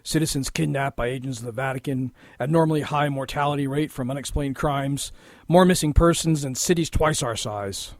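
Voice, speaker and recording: An actor playing a gruff, exasperated police lieutenant in a noir audio drama, sarcastic and grumbling. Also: male voice, mid-range voice, brisk, no echo, studio quality, natural voice. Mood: irritated, wry.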